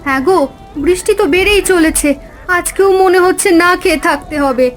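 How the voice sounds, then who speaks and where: A woman voicing a gentle, resigned and devout village wife and mother in a fairy-tale cartoon. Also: very high-pitched, brisk, faint music behind. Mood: anxious.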